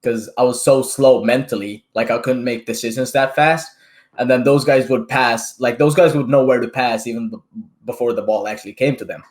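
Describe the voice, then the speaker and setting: A young man in his twenties hosts a podcast in a chatty, casual way. He swears freely and often checks in with a quick tag question. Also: mid-range voice, fairly even intonation, a little mellow. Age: 20s. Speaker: podcast host.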